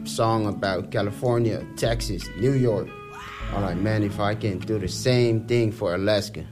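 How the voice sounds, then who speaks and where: A man's voice: lowish voice, normal speed, over music. A young man from Samoa, a guest musician, talking casually in a loose, repetitive way. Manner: laid-back, loose, repetitive phrasing. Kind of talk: casual interview.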